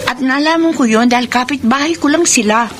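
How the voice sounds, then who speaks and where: A fairly high young female voice from an actress, a gossipy, plain-spoken village cousin in a radio drama, with faint ambient noise around her. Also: fairly quick, close to an everyday voice. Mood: grave, cautioning. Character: gossipy, frank young village cousin bearing bad news. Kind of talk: Filipino radio drama acting.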